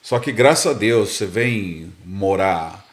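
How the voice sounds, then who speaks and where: A Brazilian man with a fairly low voice recounts his story as a podcast guest. He rambles and repeats words while he searches for what to say. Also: animated, slow, crowd noise. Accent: Brazilian Portuguese. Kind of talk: casual storytelling.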